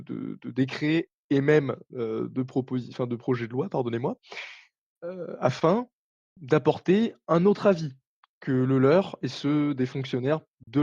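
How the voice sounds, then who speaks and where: A man playing a retired navy commander turned politician, answering interview questions in a formal, halting way full of filler sounds. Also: medium pitch, mellow, no room sound. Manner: formal, hesitant, frequent filler sounds and restarts. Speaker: interview guest. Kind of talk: roleplay interview. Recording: dull dry sound.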